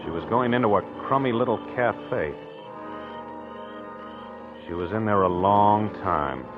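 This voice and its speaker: Deep-voiced brooding husband, halting confession, muffled 40s radio drama with music. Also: male voice, animated. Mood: brooding, haunted. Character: haunted, obsessive husband confessing, fearing for his sanity. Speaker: actor narrating in character. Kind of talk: 1940s radio acting. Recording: muffled old 1940s radio recording.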